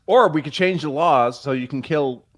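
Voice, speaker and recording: A man's voice: fairly high pitch, moderate pace, dry recording. A man giving casual legal commentary on a live stream, in a wry, joking and opinionated way.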